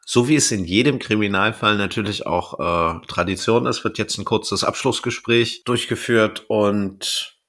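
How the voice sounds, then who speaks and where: Guy with a lowish voice, podcast host loosely recapping stuff from notes. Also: unhurried, hardly any pauses, a bit bright. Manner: conversational, loosely retelling from notes. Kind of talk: casual review and recap of an audio drama.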